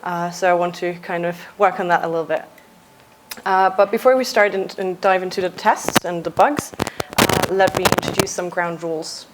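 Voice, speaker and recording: A young adult woman from Austria, a software engineer giving a conference talk in a casual, lightly joking way with frequent uhs. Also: fairly low pitch, steady tone, normal speed, background noise. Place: conference stage.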